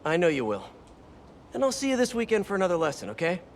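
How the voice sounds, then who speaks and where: A man's voice: sits high, wildly swooping pitch, quick pace, slight background noise, exaggerated voice. An actor playing a young, wisecracking male superhero and earnest mentor, in glib conversational video game voice acting. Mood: earnest, caring. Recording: loud music behind the voice.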